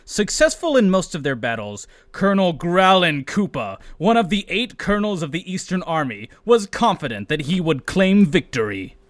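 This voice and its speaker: A man's voice: high-pitched, big pitch swings, normal speed, hardly any pauses. A man co-hosting a podcast in casual, joking, sweary banter, sometimes reading aloud. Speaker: podcast co-host.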